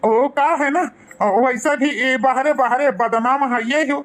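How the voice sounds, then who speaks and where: A performer with a pitched-up voice and an eastern Uttar Pradesh accent, voicing a pushy, swaggering village man in a comedy cartoon. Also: fairly even intonation, normal speed, a bit bright. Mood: cajoling.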